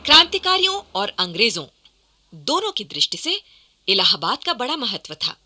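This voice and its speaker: A woman serves as narrator of a historical radio play. Her voice is high and very bright, with lively swings in pitch as she delivers the scripted account dramatically. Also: normal speed.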